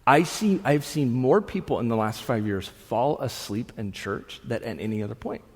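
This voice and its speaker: A man in his thirties, a pastor preaching a sermon to a room, conversational with asides, swinging from loud bursts to hushed lines. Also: mid-range voice, lively intonation, moderate pace, slightly rough. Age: 30s. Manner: conversational with frequent restarts and asides. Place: church hall with an audience. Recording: long reverb of a large hall.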